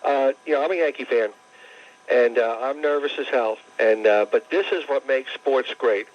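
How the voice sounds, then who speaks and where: A dull, lo-fi call from a male sports fan. His voice is mid-pitched, and he talks earnestly, circling back to the same phrases. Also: moderate pace. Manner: earnest, repetitive. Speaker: radio call-in listener. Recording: dull lo-fi radio phone call.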